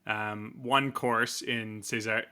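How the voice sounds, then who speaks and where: Guy cohosting a podcast, bright voice, rambles and jokes, lots of restarts. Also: fairly low pitch, fairly even intonation, takes it slowly, smooth. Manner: rambling, joking, many restarts. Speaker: podcast co-host. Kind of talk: casual chat and interviewing.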